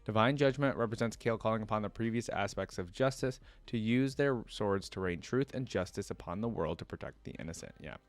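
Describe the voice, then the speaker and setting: A man's voice: fairly low pitch, fairly quick, few pauses. A man hosting a reaction video, reading trivia aloud in a casual way with short asides to himself.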